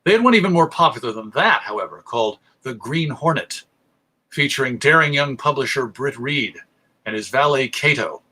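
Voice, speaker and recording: Bright, forceful American man presenting. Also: medium pitch, expressive, moderate pace. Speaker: presenter, radio show host. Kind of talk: illustrated history lecture.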